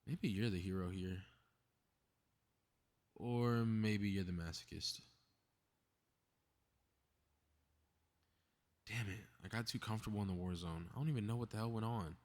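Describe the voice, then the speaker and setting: A man's voice: on the low side, moderate pace, a little mellow, natural voice. A man acting a cocky, mischievous young male superhero in a scripted ASMR audio drama, muttering sarcastic, casually profane asides. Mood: sarcastic, irritated.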